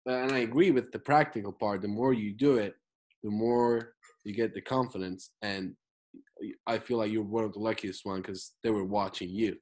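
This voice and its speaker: A man from Turkey talks in English with a clear Turkish accent, his first language still audible in every phrase. He chats casually and animatedly about coaching basketball, his voice jumping up in pitch as he explains. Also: steady tone, normal speed, a little mellow. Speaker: basketball skills trainer, interviewer. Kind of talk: casual conversation.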